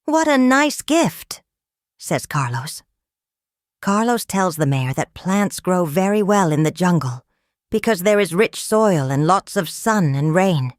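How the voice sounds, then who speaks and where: A woman reading a children's story aloud in an expressive storytelling manner. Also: lowish voice, unhurried, dry recording, clean studio sound. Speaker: storybook reader. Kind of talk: scripted read-aloud narration of a children's story.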